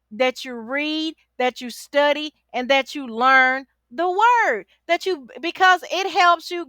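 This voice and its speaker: A woman with a forceful, high voice teaches like a chatty life coach. She urges the listener on with repeated phrases and a sassy, familiar tone. The sound is close and dry. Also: no room sound. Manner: exhorting, repeats phrases for emphasis; sassy, familiar, uses endearments like baby and honey. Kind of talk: casual, chatty Bible study teaching to camera.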